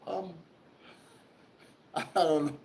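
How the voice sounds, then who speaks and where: A man's voice: a little high, steady tone, very slow, gravelly, a little mellow. A young man hosting a casual chat, talking loosely and profanely with lots of rambling. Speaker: podcast host.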